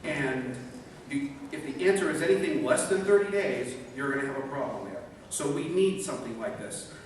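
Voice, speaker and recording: A man's voice: a little high, lively intonation, moderate pace. A man giving earnest, persuasive testimony as a public commenter at a city council meeting. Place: large council chamber indoors. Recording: echoey council chamber from far off, noisy.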